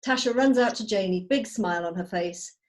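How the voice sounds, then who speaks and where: A woman reading out screenplay stage directions as measured, storytelling narration. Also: medium pitch. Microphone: video call.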